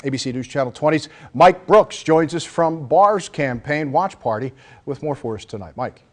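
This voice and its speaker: A man's voice: a little high, expressive, moderate pace. A man with an American accent reading a scripted local television newscast as anchor. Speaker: news anchor. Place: TV news studio.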